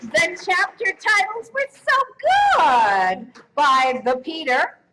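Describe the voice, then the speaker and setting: A woman presents an award with a very high, animated voice. She takes her time and keeps it playful. The live event recording is clearly noisy. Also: slow.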